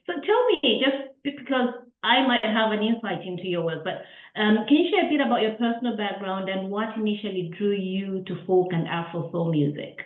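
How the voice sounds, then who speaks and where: A woman hosting an interview, chatty and warm, often restarting her questions and laughing along. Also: medium pitch, a little fast. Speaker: interviewer and host. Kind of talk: interview over a call. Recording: tinny phone-like line in an echoey room.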